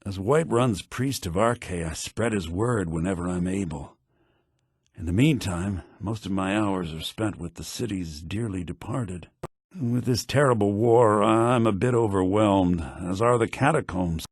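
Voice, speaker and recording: An actor playing a humble, solemn priest of the dead in a fantasy video game, speaking in an earnest, formal, measured way. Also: male voice, breathy edge, no echo, studio quality. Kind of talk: video game character acting. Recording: lo-fi low-bitrate game audio.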